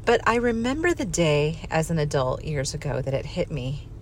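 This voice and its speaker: A woman's voice: deep voice, big pitch swings, normal speed, a little hiss or hum. A middle-aged woman giving a candid, conversational personal talk, self-deprecating and repeating words for emphasis. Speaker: speaker giving a personal talk on faith and family life.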